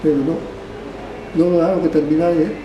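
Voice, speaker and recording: An older man from Panama, recounting his life as a barber in a rambling, halting way, restarting phrases and adding tag questions. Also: a little high, flat delivery, dull audio, noisy background. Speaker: barber being interviewed. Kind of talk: rambling oral history. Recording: roomy, distant mic, background ambience.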